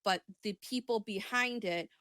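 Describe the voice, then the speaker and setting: A woman with a medium-pitched voice in a dry, close recording, talking casually as she does an intuitive reading. She feels her way through each thought, halting and restating it in a tentative manner. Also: sharp tone, no echo. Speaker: psychic reader on a talk show.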